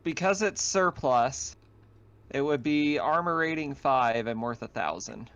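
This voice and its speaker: A man's voice: medium pitch, lively intonation, a little slow, fairly bright tone, clean tone, dull audio. A man explaining game details conversationally as the game master of an online tabletop session. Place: online voice call.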